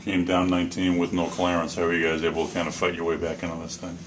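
Deep, flat-voiced reporter. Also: male voice, fast talker. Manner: direct questioning. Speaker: sports reporter. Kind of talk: press conference questions. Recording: noisy room.

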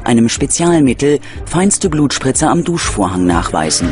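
A man narrating a scripted true-crime documentary in a dramatic, measured delivery. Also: medium pitch, very animated. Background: background music.

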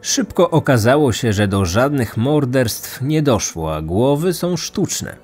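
A man's voice: medium pitch, very animated, a little slow, a little mellow. Man narrating true crime over music. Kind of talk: scripted documentary narration.

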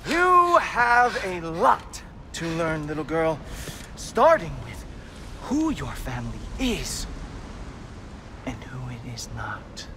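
A man's voice: a little high, huge pitch swings, background noise, full character voice. An actor playing an arrogant, contemptuous god in a video game, condescending and theatrical, drawing out his mockery. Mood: mocking. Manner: condescending, theatrical, drawn-out mocking delivery. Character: arrogant, sneering male god, contemptuous bully. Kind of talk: video game cutscene acting.

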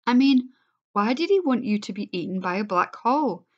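Woman reader as curious young boy, excitable, higher, storybook. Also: fairly quick, dull audio. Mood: eager.

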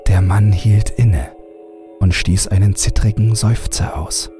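A male audiobook narrator tells a horror tale ominously. His voice is very deep and his delivery slow and measured, with music clearly playing underneath. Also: steady tone, dark tone. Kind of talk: scripted horror story narration.

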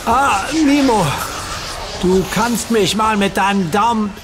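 A pained young hero. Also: male voice, high-pitched, slow, music in the background. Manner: gasping, irritable. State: pained, strained. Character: young male superhero in agony, defiant. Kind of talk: German radio play acting.

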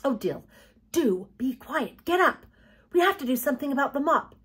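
Woman doing an anxious, pleading girl, higher pitch. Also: big pitch swings, normal speed. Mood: urgent. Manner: urgent, pleading.

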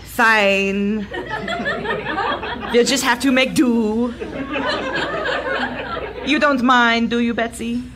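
A woman's voice: fairly high pitch, steady tone, very slow, pauses often, over a noisy crowd. A woman playing a sadistic, theatrical Nazi doctor who gloats and taunts in a comic radio drama. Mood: menacing.

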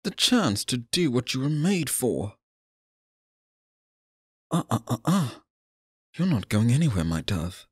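A man playing a sinister, possessive, courtly stage magician in an ASMR roleplay, coaxing the listener with theatrical, drawn-out phrasing. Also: medium pitch, very animated, husky, no echo, clean studio sound. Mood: menacing, possessive.

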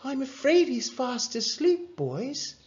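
Narrator as a high-voiced, gentle caring mum. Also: very animated, slow, highs cut off, full character voice. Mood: gentle, firm.

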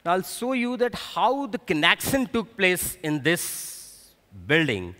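A middle-aged man with an Indian accent explains technical material from a conference stage. His voice is fairly high and bright, with a halting, explanatory delivery. It rings through a large hall with long reverb. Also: big pitch swings. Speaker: technical director presenting at a conference. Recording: echoey large hall PA sound.

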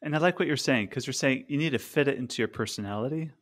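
A man in his thirties speaks into a studio mic on a remote podcast call. He asks questions in an easygoing way and fills pauses with "uh". His voice sits at a middle pitch for a man. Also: fairly bright tone. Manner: frequent "uh-huh" backchannels, easygoing questions. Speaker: podcast co-host. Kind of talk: casual interview chat. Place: small room at home. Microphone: studio mic on stand, remote call.

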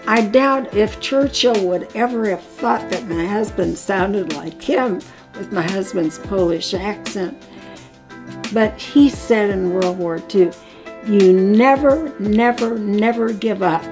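A woman's voice: mid-range voice, takes it slowly, over music. An older woman speaking proudly and wryly about her children and long marriage in a home interview. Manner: warm, proud, gently wry. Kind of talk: reminiscing interview. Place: living room at home.

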